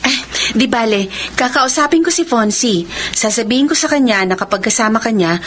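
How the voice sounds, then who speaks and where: A woman's voice: fairly high pitch, expressive, fairly quick, a bit bright, slight background noise. A Filipino actress playing a kind, considerate young working woman and elder sister in a radio drama, with a polite manner. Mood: earnest, frustrated. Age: young adult.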